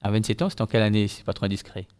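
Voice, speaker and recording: A man's voice: lowish voice, level tone, racing, darkish tone, clean tone. A man hosting an interview, introducing his guest and asking formal, polite questions. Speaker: interview host.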